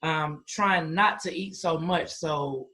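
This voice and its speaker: A man's voice: fairly high pitch, takes it slowly, bright, forceful tone, a little hiss or hum. An American man hosting a podcast interview, chatting casually in a jokey, affirming way. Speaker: podcast host.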